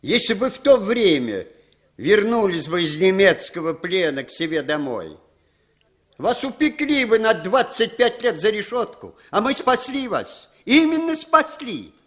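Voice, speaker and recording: Casting: male as a wheedling, condescending spymaster, 1960s radio play. Very lively intonation, slightly raised pitch, insistent prodding. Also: a little slow, full character voice. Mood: insistent, reproachful. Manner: ingratiating, insistent, tags questions with prodding appeals. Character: smooth, wheedling Western intelligence handler, condescending and insinuating.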